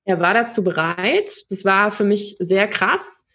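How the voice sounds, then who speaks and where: A young woman from Germany telling her own story in an interview, thoughtful, with many pauses and fillers. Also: mid-range voice, fairly bright tone. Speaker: podcast guest. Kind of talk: personal interview.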